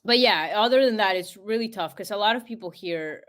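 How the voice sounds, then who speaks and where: A woman's voice: medium pitch, fairly quick, a bit bright. A young woman from Peru chatting as a podcast guest, full of fillers like "you know" and "like". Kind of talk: casual interview chat.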